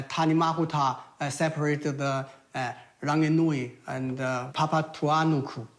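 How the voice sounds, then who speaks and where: A man in his sixties from China with a Mandarin Chinese accent, answering interview questions in a halting, reflective way with many pauses. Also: fairly high pitch. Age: 60s.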